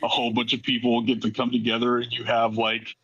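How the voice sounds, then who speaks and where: A man who works as a voice actor, speaking off the cuff in a thoughtful, halting way as he reflects during a cast discussion. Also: medium pitch, monotone, a bit bright, breathy edge, no echo, highs cut off. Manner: thoughtful, halting, searching for words. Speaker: voice actor in a fiction podcast.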